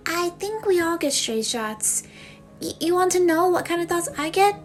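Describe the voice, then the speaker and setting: Woman playing shy self-conscious girl confessing, really high voice, hesitant n rambly, faint music. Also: lively intonation, moderate pace. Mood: awkward, embarrassed. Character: self-conscious young woman confessing she feels odd. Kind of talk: confessional monologue for a voice acting demo reel.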